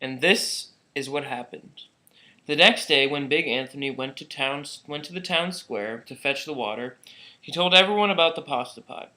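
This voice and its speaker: Bright-voiced man reading a children's story. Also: a little high, moderate pace, poor audio. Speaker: storybook reader. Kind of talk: read-aloud children's story narration.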